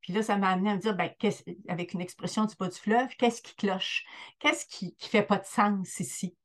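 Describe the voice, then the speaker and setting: A woman's voice: medium pitch, steady tone, moderate pace. An older woman with a Quebec French accent, a guest midwife telling her story in an interview with many hesitant fillers. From Quebec, Lower St. Lawrence.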